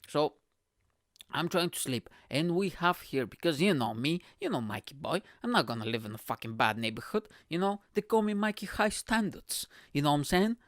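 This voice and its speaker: A man's voice: a little high, sing-song, normal speed, a bit bright, no echo, studio quality. A young man from Bulgaria, hosting his own show in a profane, rambling comedic monologue that keeps checking in with the listener. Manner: profane, rambling, keeps asking "you know what I'm saying". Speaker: podcast host.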